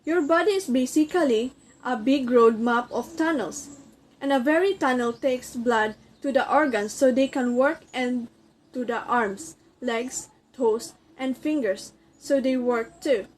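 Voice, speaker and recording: Woman playing a high-voiced, earnest circulatory system. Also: measured pace, slight background noise, poor audio. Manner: demonstrative, instructing, formal address. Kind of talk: amateur reader's theater, scripted recitation.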